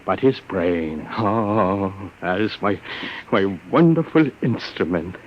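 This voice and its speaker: An actor playing a nervous, secretive elderly professor and inventor, stammering and fussy, in 1950s radio drama style. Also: male voice, sits low, lively intonation, slow. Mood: proud. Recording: dull old radio broadcast with hiss.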